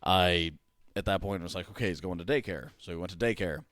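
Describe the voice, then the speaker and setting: A man with a very low voice hosts a relaxed podcast from his home. He talks in an easygoing way and swears now and then. The sound is dry and close. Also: brisk, no room sound. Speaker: podcast host. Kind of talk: casual conversation.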